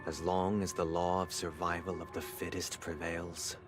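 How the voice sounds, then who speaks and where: An actor playing a solemn, disillusioned man in a video game, speaking in measured, formal, philosophical oratory. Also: deep voice, flat delivery, natural voice. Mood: grave, resolute. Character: solemn, disillusioned adult brother, an eloquent idealist turned cynic. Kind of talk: scripted video game drama. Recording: loud music behind the voice. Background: music.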